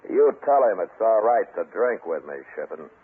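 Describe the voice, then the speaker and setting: A man acting a stern, steely, unhurried Old West marshal in an old-time radio western, with terse, commanding delivery. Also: fairly low pitch, very animated, husky. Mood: firm, goading. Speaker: radio actor. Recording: noisy, tinny old-time radio recording.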